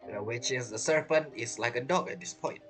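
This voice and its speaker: Female game streamer: low voice, animated intonation, casual reactions, game music behind. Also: brisk. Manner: reacts aloud, thinks out loud. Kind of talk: casual gameplay commentary.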